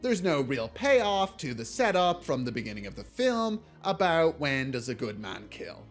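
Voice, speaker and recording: A man's voice: mid-range voice, big pitch swings, moderate pace. A man delivering scripted video essay commentary in a blunt, casually sardonic way. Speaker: video essayist reviewing films. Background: music.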